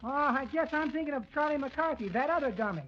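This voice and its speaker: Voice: high-pitched, expressive, fast talker, muffled recording, slight background noise. A performer voicing an eager, nosy young telegram delivery boy in a 1930s radio comedy. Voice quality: chirpy, nosy. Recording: old radio recording with studio laughter.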